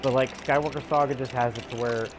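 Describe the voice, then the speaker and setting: A young man chatting casually as he streams a game, rambling and halting in an amused way. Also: medium pitch, fairly even intonation, noisy background. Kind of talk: casual live gameplay chat. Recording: loud game music and sounds behind. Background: video game music and effects.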